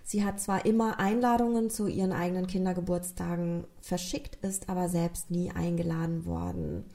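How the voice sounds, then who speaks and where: A woman's voice: on the low side, mellow. A woman from Rhineland-Palatinate with a regional German accent, earnestly retelling a true crime case as a podcast host and speaking directly to her listeners.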